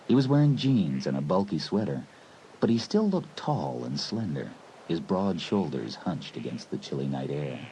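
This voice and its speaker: A man narrating a horror audiobook in a measured, brooding storyteller's manner. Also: low-pitched, warm tone, poor audio. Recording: hissy old cassette recording.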